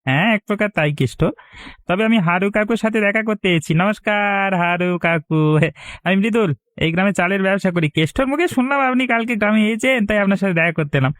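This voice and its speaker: A man's voice: sits high, fairly quick, fairly bright tone, no room sound. A man voicing a fawning, calculating village rice trader in a cartoon, speaking in a hesitant, repetitive, ingratiating way. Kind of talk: cartoon voice acting.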